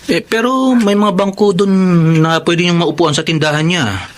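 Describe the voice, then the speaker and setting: A man's voice: sits high, lively intonation, moderate pace, background noise. A man playing a considerate, polite younger schoolteacher in a Filipino radio drama. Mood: concerned, apologetic. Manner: polite, helpful, respectful.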